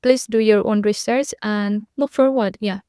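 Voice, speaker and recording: A woman's voice: mid-range voice, steady tone, unhurried, warm tone, clean tone, no room sound, clean studio sound. A young woman from Nepal, a podcast guest, giving earnest, repetitive conversational answers with frequent fillers.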